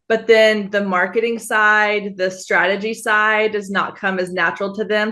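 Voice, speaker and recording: A woman in her thirties from Texas, a guest on a business podcast. Her voice is bright and a little sharp, and she sounds friendly and upbeat as she answers. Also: medium pitch. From Texas, USA. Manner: friendly, upbeat, easy laughs. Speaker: guest, photographer and business coach. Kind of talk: podcast interview.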